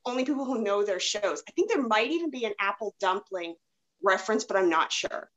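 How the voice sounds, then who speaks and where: A woman in a casual podcast chat, appreciative and halting as she recalls things. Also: medium pitch, expressive, fairly bright tone.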